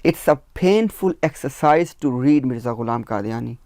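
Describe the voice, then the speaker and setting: A man's voice: mid-range voice, expressive, moderate pace, a little mellow, no room sound. A man, a researcher, explaining his points at length in a discussion, methodical and firm, counting them off one by one. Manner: emphatic, firm. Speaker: researcher of religious literature.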